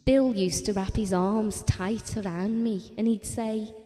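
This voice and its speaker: Fragile grieving mother, mellow and echoing played by a woman. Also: medium pitch, steady tone, a little slow, lightly acted. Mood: longing. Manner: tender, haunted, reflective. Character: Ellen, fragile, grieving mother clinging to lost daughter. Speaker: actress in an audio drama. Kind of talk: intimate audio drama monologue. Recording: long reverberant hall sound.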